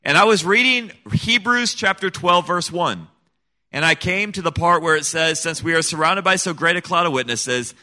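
A middle-aged man tells his story as an interview guest with an earnest, emphatic drive. His voice is bright and forceful, sitting at an average pitch for a man. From Senegal. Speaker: guest, missionary kid. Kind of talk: personal testimony in an interview.

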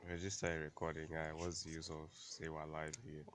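A man co-hosting a casual podcast who has a cold and trades dry, deadpan banter with his co-host. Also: very deep voice, moderate pace, slight background noise. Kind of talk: casual chat.

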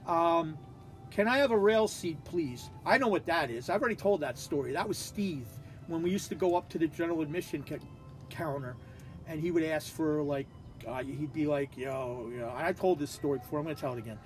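Podcast co-host, New man, high pitch, profane and blunt, does voices when he retells stories. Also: brisk, faint music behind. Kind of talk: casual chat, reading scorecard notes aloud.

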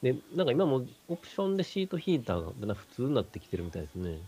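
A man's voice: mid-range voice, sing-song, racing, warm tone, a little hiss or hum. A man explaining things casually as a radio panelist.

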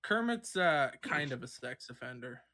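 A young man chatting on a stream, swearing, joking and laughing through most lines. His pitch swings widely, and his voice is bright and forceful. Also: sing-song, moderate pace. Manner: crude joking, laughs often, jumps in and out of put-on voices. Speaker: stream co-host. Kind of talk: casual chat.

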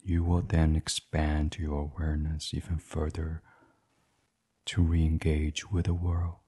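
A man gently and soothingly reading a guided sleep meditation in a breathy, husky voice, as the host. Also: rumbling low, completely flat delivery, a little slow.

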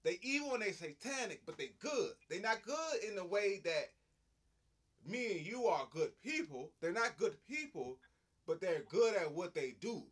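A young man in casual, profane chat, animated and dropping into bits of song. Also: high voice, moderate pace.

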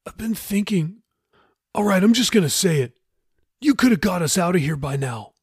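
A man acts out a desperate young sailor held captive in an audiobook story. His voice is raised high and has a rough husk, close and dry. He talks in slang, agitated and pleading. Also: animated, normal speed, no echo, heavily acted. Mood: nervous, insistent.

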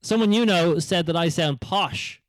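Young male podcast co-host, Dublin accent with an American lilt, giggly, animated storyteller; dry close mic. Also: on the high side, moderate pace, no room sound. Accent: Dublin Irish with an American tinge. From Ireland. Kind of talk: casual podcast chat.

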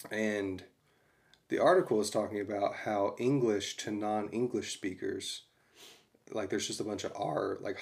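A man's voice: on the low side, creaky. A man co-hosting a podcast, rambling in a laid-back way and chuckling. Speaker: podcast co-host. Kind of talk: casual chat.

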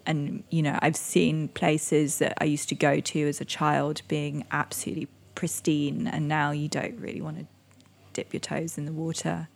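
Low, gravelly-voiced young woman. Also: level tone, normal speed. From Indonesia. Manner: reflective, many "um"s and soft laughs. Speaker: interviewee, climate activist. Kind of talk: conversational interview.

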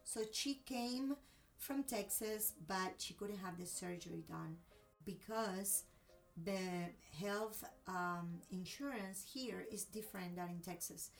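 A woman's voice: medium pitch, slow pace, vocal fry, recorded from a distance, faint music behind, rough recording. A woman from Cuba with a Cuban accent and Spanish as her first language, telling a personal story expressively in a conversational interview.

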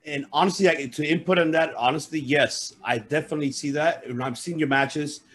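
A man's voice: a little high, brisk, fairly bright tone. A man hosting an interview, asking long, meandering questions that repeat themselves. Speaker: podcast host. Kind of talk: casual interview chat.

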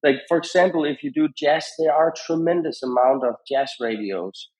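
A man giving conversational advice as a podcast guest, in halting phrases with frequent repetitions. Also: a little high, darkish tone, rough recording. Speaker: podcast guest, founder of a radio monitoring company.

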